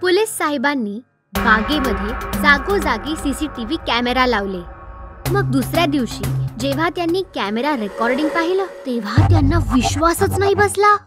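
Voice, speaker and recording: A woman narrating a scripted horror story for children. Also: sits high. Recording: loud music behind the voice. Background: loud music.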